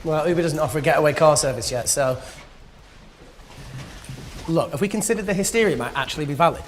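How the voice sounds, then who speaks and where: A man's voice: fairly high pitch, fast, a little hiss or hum, close to an everyday voice. A young man acting as a flippant, thrill-seeking medical expert in a drama, glib and wisecracking. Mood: probing, glib. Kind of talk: naturalistic screen acting. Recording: background music under dialogue.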